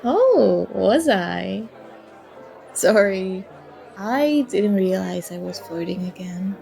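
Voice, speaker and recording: A woman's voice: medium pitch, animated, slow, gravelly. A woman playing a flirty, charming stranger at a party bar in an ASMR roleplay, teasing and coy as she talks. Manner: playful, teasing, coy, mock-apologetic. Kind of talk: ASMR roleplay, acted one-sided conversation. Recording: soft music and party chatter behind. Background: music and crowd murmur of a party bar.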